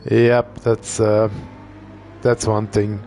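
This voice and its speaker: Slow, relaxed male race commentator. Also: lowish voice, soft background music. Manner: relaxed, thinking aloud, with fillers. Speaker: sim racing commentator.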